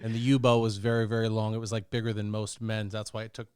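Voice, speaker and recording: A man's voice: on the low side, level tone, quick pace, a little mellow, clear, resonant tone, no echo. A man telling stories confidently and profanely in casual talk show banter.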